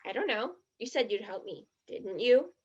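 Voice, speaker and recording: Woman playing an eager, high-voiced teenage girl, muffled. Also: big pitch swings, moderate pace, darkish tone, slightly rough. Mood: pressing. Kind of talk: one-act stage play acting.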